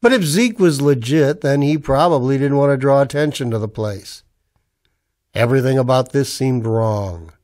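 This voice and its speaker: A man's voice: medium pitch, normal speed, a little mellow, close to an everyday voice. A man playing a wary, hard-up army-veteran husband in a radio drama, with a sardonic, colloquial delivery. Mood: wry, uneasy. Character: broke, wary army-veteran husband narrating his noir misadventure. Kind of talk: old-time radio drama acting and first-person narration.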